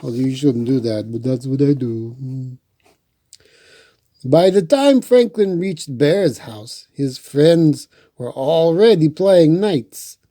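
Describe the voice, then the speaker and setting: A man's voice: fairly high pitch, animated, takes it slowly, mellow. A man hosting storytime, reading a children's book and adding folksy, chatty asides. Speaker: storyteller and host.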